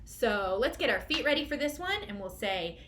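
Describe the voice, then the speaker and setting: A woman with a fairly high voice speaks as a children's storytime host. She gives simple, encouraging prompts addressed to young listeners. The recording carries noticeable background noise. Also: very animated, moderate pace, fairly bright tone. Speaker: children's librarian hosting storytime. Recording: clearly noisy room recording.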